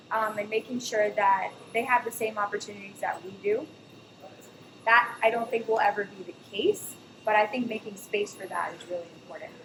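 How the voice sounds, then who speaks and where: A woman speaks as a guest on a café panel in an earnest, measured way. Her voice is a bit higher than average. Clear café noise runs behind her. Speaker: panel guest, restaurant co-founder. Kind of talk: reflective panel talk. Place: café event space. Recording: noisy café ambience.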